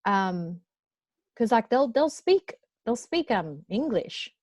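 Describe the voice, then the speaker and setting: A woman's voice: fairly high pitch, very animated, takes it slowly, a little mellow, no echo, studio quality. A woman in her twenties with an Australian accent, chatting casually as a podcast co-host. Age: 20s. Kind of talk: casual group chat.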